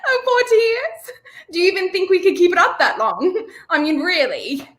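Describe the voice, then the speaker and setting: A woman's voice: very high voice, expressive, normal speed, fairly bright tone. A young woman acting a spirited romantic heroine in a 1930s-style radio play. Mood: teasing. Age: young adult.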